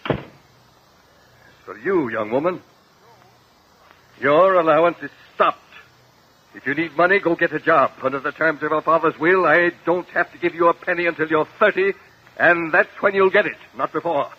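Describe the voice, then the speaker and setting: An actor playing a stern older judge and strict uncle, commanding and scolding, in a 1950 radio drama. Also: male voice, on the high side, normal speed, long pauses, husky. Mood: stern, indignant. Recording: muffled vintage 1950 radio recording.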